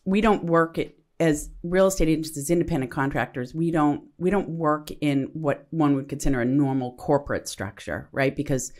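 A woman with a low voice co-hosting a casual talk show. She speaks with eager enthusiasm and explains things at length, piling on asides. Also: moderate pace, few pauses, a little mellow. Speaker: podcast co-host. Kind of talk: casual podcast conversation.

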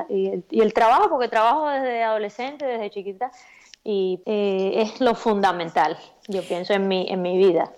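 A woman's voice: fairly high pitch, a little mellow. A Cuban woman with a Cuban Spanish accent, a singer-songwriter chatting as an interview guest, thoughtful and often repeating words as she looks for them. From Cuba. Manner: thoughtful, repeats words while searching for them, laughs easily. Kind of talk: relaxed interview chat.

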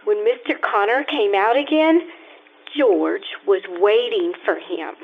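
A woman's voice: medium pitch, animated, unhurried. A woman playing a nosy young girl in an old-time radio play, coyly and hesitantly telling tales with dramatic relish. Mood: coy, tattling. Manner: coy, hesitant, storytelling. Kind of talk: old-time radio acting, live stage performance. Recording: thin, phone-like lo-fi stage recording.

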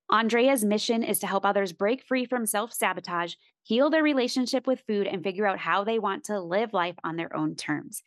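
A woman's voice: medium pitch, a bit bright, dry recording. A middle-aged woman hosting a podcast, upbeat and motivational, chatting with fillers. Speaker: podcast host. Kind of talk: podcast intro and interview.

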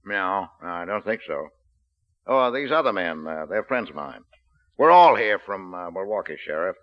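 An actor playing a menacing, cocky gangster boss with an American accent and tough, slangy speech in a 1940s radio drama. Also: male voice, sits low, big pitch swings, normal speed. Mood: feigned innocence. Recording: dull old 1940s radio broadcast recording.

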